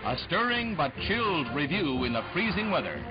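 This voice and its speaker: A male American newsreel narrator of the 1960s with a high-set, declamatory voice. His formal scripted delivery rides over loud music on a dull, band-limited vintage soundtrack. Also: very animated, moderate pace.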